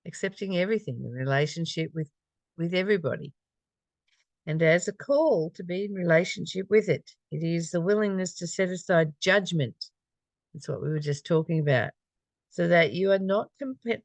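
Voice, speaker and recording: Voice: medium pitch, dry recording. Reflective spiritual reader with a close, dry sound. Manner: reflective, conversational, repeats phrases and poses questions. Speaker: spiritual study reader and commentator. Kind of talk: reading aloud with informal reflective commentary.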